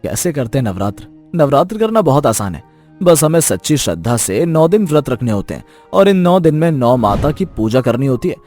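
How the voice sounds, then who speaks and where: A man voicing a gentle, persuasive husband. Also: medium pitch, a little fast. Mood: calm. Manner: calm, persuasive. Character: gentle reasoning young husband. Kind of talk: animated moral story dubbing. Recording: music bed behind voice.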